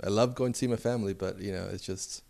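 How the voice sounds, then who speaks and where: A man from Mexico City, a photographer guest, answering in a relaxed, short way. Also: on the low side, quick pace, gravelly. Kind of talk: casual interview.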